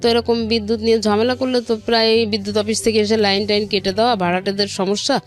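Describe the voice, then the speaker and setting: A woman's voice: medium pitch, little pitch movement, quick pace. A middle-aged woman with a Bangladeshi accent, telling her own life story conversationally as an interview guest, recounting who said what in detail. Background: background music.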